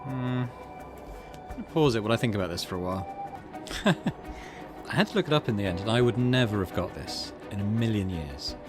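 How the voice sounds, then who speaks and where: Man, low voice, animated intonation, casual let's play commentary, musing, faint music behind. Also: brisk. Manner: musing, thinks aloud in questions. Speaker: gaming video host.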